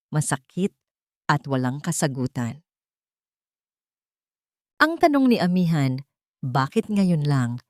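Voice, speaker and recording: A woman's voice: low-pitched, a little mellow, no echo, clean studio sound. A woman narrating a scripted drama story in an emotive, suspenseful way.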